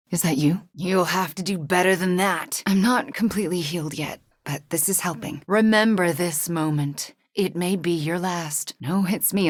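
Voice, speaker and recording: An actress voicing a young, guilt-ridden sorceress superhero in a video game, soft and breathy, earnest and measured with a wry edge. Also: female voice, low-pitched, no room sound, clean studio sound. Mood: resolute, wry. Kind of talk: acted video game dialogue.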